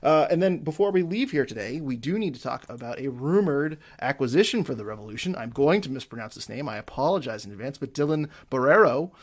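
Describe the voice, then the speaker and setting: A man's voice: mid-range voice, expressive, fast, hardly any pauses. A man hosting a casual soccer podcast, chatting loosely with many filler words and self-corrections. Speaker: podcast host. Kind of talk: casual sports podcast chat.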